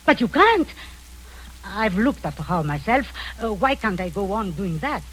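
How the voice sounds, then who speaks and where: A woman's voice: medium pitch, very animated, moderate pace, fairly bright tone, a little hiss or hum, low-quality recording. An actress playing a scheming middle-aged woman who speaks in a genteel, honeyed, overly gracious way in a vintage radio drama. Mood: sugary. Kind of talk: 1940s radio acting.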